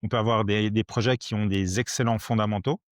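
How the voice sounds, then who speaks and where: A man in his forties from Switzerland, a podcast guest explaining things simply in conversation, haltingly and with repeated words. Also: fairly low pitch, steady tone, dry recording, clean studio sound. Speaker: podcast guest, crypto educator.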